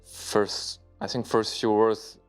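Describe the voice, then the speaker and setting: A man in his thirties from China, answering interview questions in halting, relaxed English with many fillers. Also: on the low side, clear, resonant tone, soft background music. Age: 30s. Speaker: poker player guest.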